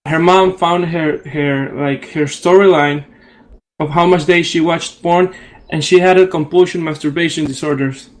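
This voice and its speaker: A man's voice: on the high side, fairly even intonation, normal speed, low-quality recording. A man in his twenties with an Ecuadorian accent, a native Spanish speaker, talking casually as a radio guest. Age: 20s. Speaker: psychology professional guest. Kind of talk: casual radio discussion in non-native English.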